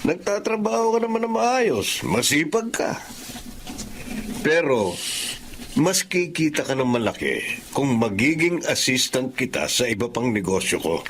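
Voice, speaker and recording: Genial boss with animated pitch. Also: male voice, unhurried, a little hiss or hum. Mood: persuasive, smooth-talking. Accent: Filipino. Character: genial, persuasive wealthy businessman boss. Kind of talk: Tagalog radio drama acting.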